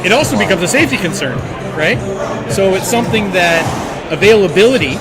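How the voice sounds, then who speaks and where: High-voiced man over loud crowd and music. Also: lively intonation, brisk. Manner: acts out dialogue, informal. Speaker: podcast co-host telling an anecdote. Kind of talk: casual animated storytelling. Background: loud crowd chatter and music.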